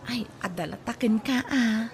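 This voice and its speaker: A woman's voice: on the high side, moderate pace, a little hiss or hum, lightly acted. A woman playing a coy, playful unmarried market vendor in an Ilocano radio comedy drama, with an Ilocano accent. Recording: music behind the voice.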